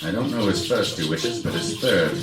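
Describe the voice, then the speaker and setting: Deep, haunted sergeant major. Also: male voice, lively intonation. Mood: grave, haunted. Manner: slow, ominous, halting. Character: grave, haunted old sergeant major telling dark tales. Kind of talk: old-time horror radio play. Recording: roomy distant stage recording. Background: loud music.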